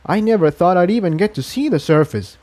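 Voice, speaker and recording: A man's voice: high voice, lively intonation, a little fast, darkish tone, voice pushed up. A man voicing a weary, earnest engineer pony in a storybook reading, talking in a reflective, confiding way. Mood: reassuring.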